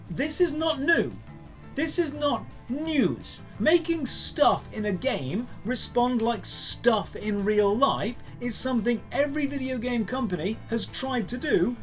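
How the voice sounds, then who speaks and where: Guy doing gaming news, higher voice, sarcastic and punchy, music playing under him. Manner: emphatic, opinionated, sarcastic. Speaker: host of a daily geek news show. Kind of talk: scripted news commentary to camera.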